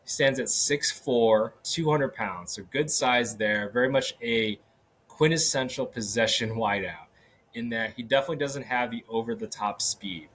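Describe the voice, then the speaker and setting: A man hosting a solo sports podcast, talking casually with many fillers and restarts, his voice a little hoarse. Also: mid-range voice, moderate pace, a bit bright, slight background noise. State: slightly hoarse. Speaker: podcast host. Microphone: podcast microphone.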